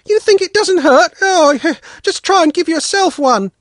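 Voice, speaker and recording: A man voicing a sulky, whiny peasant boy who complains in a high, aggrieved, drawn-out voice. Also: lively intonation, no echo, studio quality. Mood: aggrieved whining.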